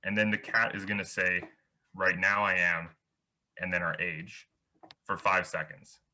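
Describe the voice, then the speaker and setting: A man's voice: sits low, fairly even intonation, measured pace, pushed and bright, a little hiss or hum. A young man giving a beginner programming workshop over slides, explaining step by step with small restarts. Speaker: workshop instructor.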